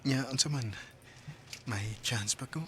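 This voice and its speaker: An actor playing an eager, bashful young suitor in a radio drama, wooing hesitantly with a Cebuano Filipino accent. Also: male voice, mid-range voice, slow, slight background noise, natural voice.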